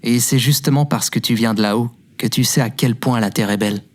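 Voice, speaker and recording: A man's voice: medium pitch, brisk, natural voice. A man playing a devoted, self-deprecating young man who tells his story in a fiction podcast. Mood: tender, encouraging. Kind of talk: fiction podcast acting with narration.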